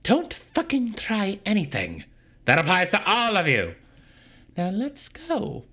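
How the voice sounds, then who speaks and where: Man doing a female bandit, raised pitch, forceful, bossy, impatient, drawn-out commands, visual novel. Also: wildly swooping pitch, husky, heavily acted. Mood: stern, irritated.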